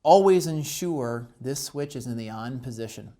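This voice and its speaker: A man presenting a step-by-step instructional demonstration, explaining each step deliberately. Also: mid-range voice, lively intonation, mellow.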